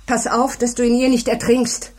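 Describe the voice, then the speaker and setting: An actress playing a teacher's skeptical, wry wife in a German radio drama, with a dry, questioning manner. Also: female voice, fairly high pitch, monotone, moderate pace, natural voice.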